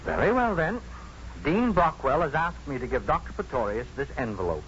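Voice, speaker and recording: A man's voice: a little high, very animated, moderate pace, a bit bright. A male actor playing a smug, officious university professor in a 1950s radio drama, stiff, pompous and insinuating. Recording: muffled, noisy 1950s radio recording.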